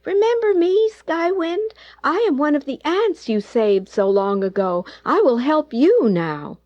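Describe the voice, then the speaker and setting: A woman's voice: animated, a little slow, dry recording. A woman voicing a tiny, earnest ant in a small voice for a children's storybook. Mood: grateful, helpful. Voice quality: small, high voice. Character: tiny desperate ants, pleading and grateful. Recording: dull old cassette transfer.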